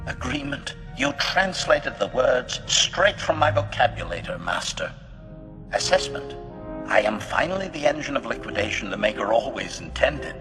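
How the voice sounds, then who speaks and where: A man's voice: medium pitch, big pitch swings, moderate pace, long reverb tail, over music. A man voicing a servile, smugly precise, gleefully murderous assassin droid in a video game, speaking in formal, clipped announcements through a robotic filter. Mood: gleeful, devoted. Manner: formal, clipped, announces each statement with a label word. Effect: metallic robot voice filter. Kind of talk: video game character dialogue.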